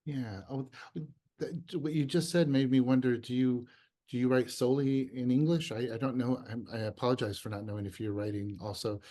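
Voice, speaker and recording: A man interviewing a poet over a video call, asking long, halting questions with many restarts. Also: mid-range voice, fairly even intonation, warm tone. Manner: halting, restarts often, searches for words. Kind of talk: conversational questions. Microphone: computer mic on a video call.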